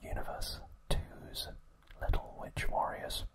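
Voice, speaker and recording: Slow, breathy ASMR whisper. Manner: soft, gentle, unhurried storytelling. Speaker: ASMR video host. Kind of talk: whispered ASMR trivia recap.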